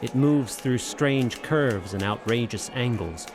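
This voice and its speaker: A man's voice: medium pitch, slow, noisy background. An actor playing a grave, haunted, learned man in a radio drama, reciting dramatically.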